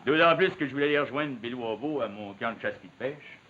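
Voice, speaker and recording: Brash Quebec logger, muffled old TV sound. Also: male voice, medium pitch, expressive, quick pace, pushed and bright. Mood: easygoing. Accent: Quebec French. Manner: boastful, folksy rural speech. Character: brash, openhanded Quebec logging man in love. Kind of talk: 1950s television period drama acting. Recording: muffled, hissy old 1950s television soundtrack.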